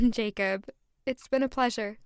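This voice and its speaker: A woman's voice: fairly high pitch, fairly even intonation, takes it slowly, clear, resonant tone, no echo, clean studio sound. A woman playing a flustered, demure young maid given to romantic daydreams in an audio drama. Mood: flustered, polite. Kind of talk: audio drama acting with first-person narration.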